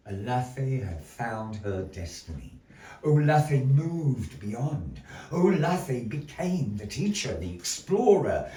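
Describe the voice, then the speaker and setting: A man's low voice carries across an echoey room, a little way from the microphone. He tells his tale as a theatrical storyteller, swinging widely in pitch. His delivery is declamatory, with weighty pauses for drama. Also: mellow. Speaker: storyteller, puppet show narrator. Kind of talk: theatrical live storytelling narration. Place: indoor gallery performance space. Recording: echoey gallery room, distant mic.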